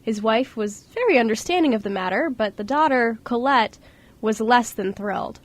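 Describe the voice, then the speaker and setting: Female narrator for an amateur radio drama, higher-pitched voice, reading a scripted story. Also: lively intonation, normal speed. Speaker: radio show co-host and narrator.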